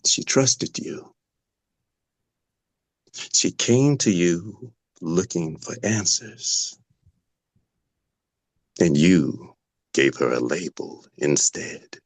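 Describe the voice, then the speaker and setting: A man playing a vengeful, grieving patient in a dramatic table read, slow and taunting with sudden drops toward a whisper. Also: deep voice, expressive, no echo, exaggerated voice. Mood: bitter, accusing. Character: menacing, vengeful male patient grieving his twin sister.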